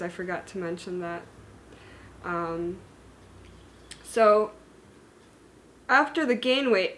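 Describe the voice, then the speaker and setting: Earnest woman in her thirties. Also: medium pitch. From born in Germany, raised in the United States and Hungary. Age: 30s. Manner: earnest and candid, with fillers and self-corrections. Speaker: vlogger sharing her illness story. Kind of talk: personal talk to camera.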